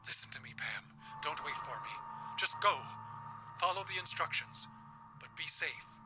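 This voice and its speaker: A loving old grandfather played by an actor speaks in urgent, halting bursts in a radio drama. His voice is fairly high and swings widely, with some noise around it. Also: expressive, natural voice. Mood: alarmed. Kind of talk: audio drama acting.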